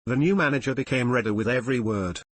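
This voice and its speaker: Husky male narrator, close dry sound. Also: mid-range voice, fast, no room sound. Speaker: narrator reading online forum posts and comments. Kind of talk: scripted narration of forum stories.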